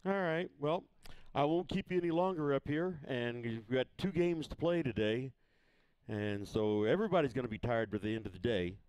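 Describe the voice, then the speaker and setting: Smooth-voiced local baseball broadcaster. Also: male voice, medium pitch, expressive, darkish tone, no echo, low-quality recording. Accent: Missouri. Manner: folksy, unhurried, many fillers and restarts. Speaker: play-by-play broadcaster. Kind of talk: casual local sports broadcast. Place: press box at a ballfield.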